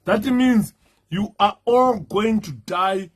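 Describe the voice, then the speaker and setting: A Zimbabwean man gives a live-streamed political talk in a hoarse, worn-out voice that sits a little high. He speaks haltingly, leaning on fillers as he greets viewers and works through his points. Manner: halting, many fillers, switches into Shona and sings. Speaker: political commentator.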